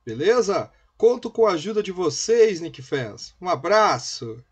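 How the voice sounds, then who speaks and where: A man's voice: high voice, very animated, muffled recording, noisy background. A man in his forties from Brazil delivering a practiced channel outro in Portuguese on a headset, in a small home studio. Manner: rapid, practiced call to subscribe. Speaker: channel host.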